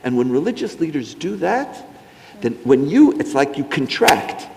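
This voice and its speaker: Rabbi panelist, male, outspoken and emphatic, animated delivery, reverberant hall. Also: on the high side, a little slow, vocal fry. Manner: emphatic, outspoken, mocking in asides. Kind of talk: impassioned panel talk.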